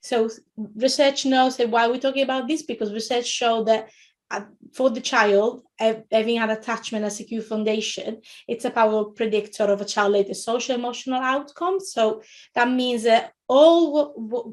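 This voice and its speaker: A middle-aged woman from Italy with an Italian accent, giving an informal educational webinar talk in a halting, often rephrasing way. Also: on the high side, steady tone, a little mellow. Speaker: webinar presenter, early years educator.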